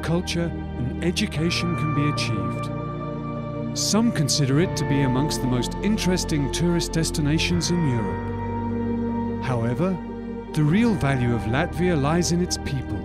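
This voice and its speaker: A man narrates a documentary in a measured, promotional tone, his voice sitting a little high. Loud new-age music plays right under him. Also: lively intonation. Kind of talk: scripted narration.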